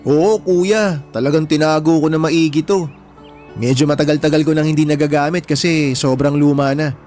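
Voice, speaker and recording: Male actor for boyish Filipino kid brother, fairly high voice, affectionate and earnest, radio drama with light music. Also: fairly even intonation, normal speed, a little mellow, natural voice. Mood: sentimental. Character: affectionate younger brother Dylan, boyish, grateful and pleading.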